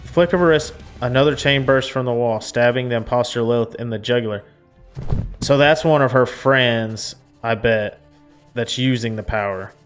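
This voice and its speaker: A man's voice: mid-range voice, moderate pace, clear, resonant tone, faint music behind. A man reading a visual novel aloud as a game streamer, mixing narration with casual reactions.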